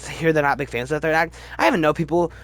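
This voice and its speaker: A man giving casual, opinionated commentary as a video host, emphatic and halting, often restarting his sentences. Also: fairly high pitch, fairly even intonation, a bit bright, dry recording. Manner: chatty, halting, restarts sentences mid-word. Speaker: movie review video host. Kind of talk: casual ranked-list movie commentary.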